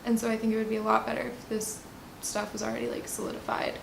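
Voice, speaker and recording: A woman's voice: on the high side, flat delivery, quick pace, gravelly, noisy background. A twenty-two-year-old woman speaking frankly and casually in a documentary interview. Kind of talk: candid, casual interview talk. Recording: roomy echo with music behind. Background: instrumental music.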